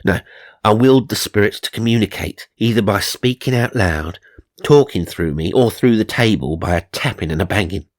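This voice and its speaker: A man's voice: lowish voice, lively intonation, normal speed, no echo, clean studio sound. A man narrating supernatural magazine stories for comedy, mock-serious and dramatic, with wry asides. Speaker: narrator and host.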